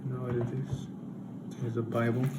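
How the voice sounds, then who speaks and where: A man presenting a comic unboxing video in a casual vlog style, with a halting, understated delivery and drawn-out phrases. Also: mid-range voice, flat delivery. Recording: roomy echo, loud background noise.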